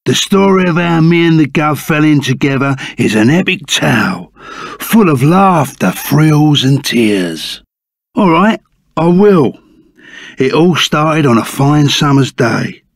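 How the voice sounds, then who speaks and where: A burly game bandit with a London Cockney accent. He is brash but good-hearted, speaking in blunt slang at an unhurried pace. The pitch sits a little high and swings widely, and the sound is dry and close. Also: animated, vocal fry, no echo, exaggerated voice. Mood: boastful storytelling. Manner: blunt, colloquial, bantering. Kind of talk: video game character acting.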